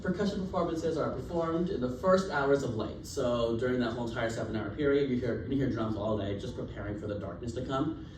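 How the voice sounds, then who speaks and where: A man's voice: mid-range voice, brisk, hardly any pauses. A young man giving a casual class presentation, chatty, with lots of fillers and easy laughs. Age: young adult. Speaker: student presenter. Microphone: distant recording device. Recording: roomy, distant, noisy classroom.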